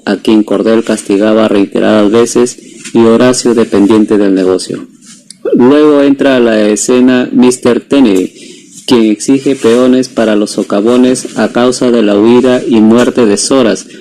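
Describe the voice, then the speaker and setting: Young man, dark mellow timbre, middle pitch, student narrator, scripted scene-setting. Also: steady tone, measured pace, poor audio. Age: young adult.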